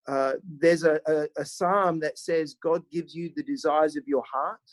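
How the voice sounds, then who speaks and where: A man's voice: on the high side, fairly even intonation, measured pace, no echo. A man from rural South Australia with an Australian accent, a pastor telling his story as a podcast guest in a hesitant, self-deprecating, conversational way.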